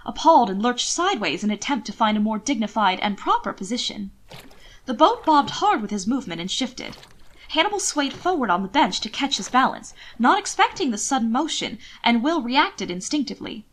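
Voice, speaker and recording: A woman's voice: a little high, big pitch swings, fairly quick, a bit bright. A woman reading scripted romantic fiction expressively, as an audiobook narrator.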